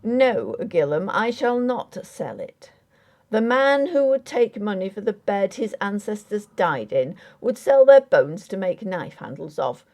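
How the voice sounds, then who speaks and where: A woman acts out a Victorian squire in a gothic audiobook tale. He is proud and immovable, making formal pronouncements with strong emphasis. The tone is mellow, yet the pitch moves widely from phrase to phrase. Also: sing-song, a little slow. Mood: indignant. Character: resolute, haughty Victorian gentleman squire.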